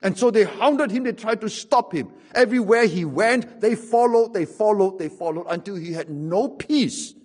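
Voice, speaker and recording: A man's voice: high voice, expressive, moderate pace, few pauses, darkish tone, husky. A middle-aged man with a Singaporean English accent, preaching a sermon and telling stories with wry humor and emphatic repetition.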